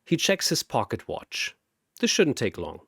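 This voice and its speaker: A man giving scripted story narration in a dramatic manner with wry, deadpan asides. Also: mid-range voice, unhurried, gravelly, husky, no room sound, studio quality.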